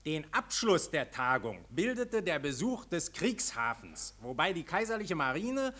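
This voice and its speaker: An opinionated stuffy know-it-all, bright and a bit high, old radio play. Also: male voice. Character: opinionated, pedantic German man discussing newspapers. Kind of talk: 1940s German radio play dialogue.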